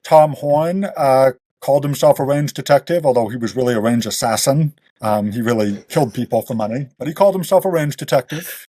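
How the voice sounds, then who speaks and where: A man's voice: mid-range voice, expressive, a little fast, dark tone, no room sound. A man speaking as a guest author in a casual podcast interview, explaining things in detail in a conversational way. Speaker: guest author of western fiction.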